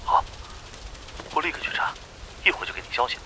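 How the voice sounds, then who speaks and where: An actor playing an excitable, obliging friend in a modern radio drama. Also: male voice, fast, a little hiss or hum. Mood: startled, eager.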